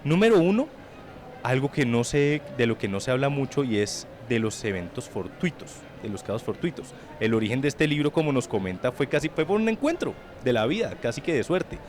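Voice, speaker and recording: A man's voice: medium pitch, big pitch swings, fairly quick, darkish tone. A man hosting an interview about a book launch, chatty and digressive, asking long conversational questions.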